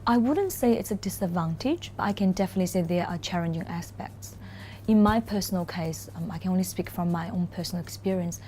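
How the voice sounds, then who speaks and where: A Mandarin-native woman from China speaks English with a Chinese accent in a warm, mellow, lowish voice. She answers reflectively, pausing with "um" and restarting her sentences. A faint noise sits under the recording. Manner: thoughtful, many "um" pauses and restarts. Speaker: corporate lawyer, interview guest. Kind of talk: relaxed interview conversation.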